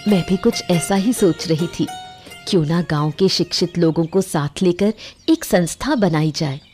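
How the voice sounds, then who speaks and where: Young village activist , female actor: composed, courteous cartoon voice, slightly low, earnest, music clearly behind. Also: normal speed, darkish tone, close to an everyday voice. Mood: earnest, encouraging. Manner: polite, composed. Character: earnest, composed young village woman, socially minded reformer. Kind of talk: cartoon voice acting.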